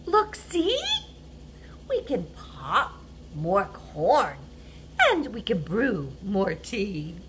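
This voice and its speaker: A woman voicing a small mouse in a storybook, speaking high and fussily to soothe a friend. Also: sing-song, extremely slow, long pauses, fairly bright tone, slight background noise, full character voice. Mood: reassuring. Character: small squeaky fretting mouse.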